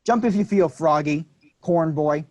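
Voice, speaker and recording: An actor reading a quick-tempered young man, combative and swearing in a staged play reading. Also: a little high, a little mellow, lightly acted.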